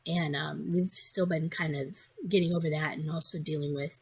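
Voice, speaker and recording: Woman, hoarse, stuffed-up, husky voice, casual crafting vlog, rambling chatter. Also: low-pitched, steady tone, moderate pace. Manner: rambling with frequent ums and restarts. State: hoarse and congested. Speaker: crafting vlogger.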